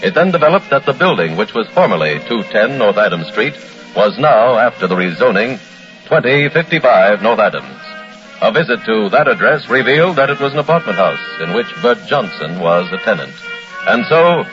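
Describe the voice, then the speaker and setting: A man narrating a vintage radio crime show in a formal, measured, dramatic scripted style. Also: on the low side, sing-song, a bit bright. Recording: muffled old radio broadcast with music.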